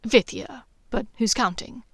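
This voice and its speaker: A teasing, affectionate young man speaks low and close, his voice pitched a touch high for a man and recorded dry and close-up, as a woman narrator plays him. He drawls his jokes with easy warmth. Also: measured pace, vocal fry, husky, no echo, exaggerated voice. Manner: teasing, low and intimate, sometimes half-whispered.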